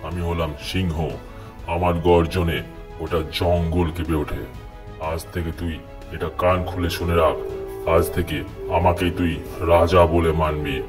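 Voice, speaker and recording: A man's voice: low-pitched, flat delivery, measured pace, over music. A man voicing a proud, boastful lion who claims to be almighty king, declaiming challenges in a children's cartoon. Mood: defiant. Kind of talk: children's cartoon voice acting in Bengali fable.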